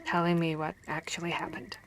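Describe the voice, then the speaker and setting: A woman playing a female vampire hunter who scolds and cares for her comrade, in an expressive, stammering ASMR roleplay. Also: deep voice, a little fast, background noise, pitched below the performer's own voice. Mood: softening, apologetic.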